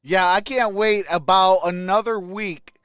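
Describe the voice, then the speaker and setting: High-pitched man livestreaming a game. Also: steady tone, a little slow, fairly bright tone, clean tone, dry recording, clean studio sound. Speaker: sports livestream host reacting to a game. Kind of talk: casual live play-by-play and chat with viewers.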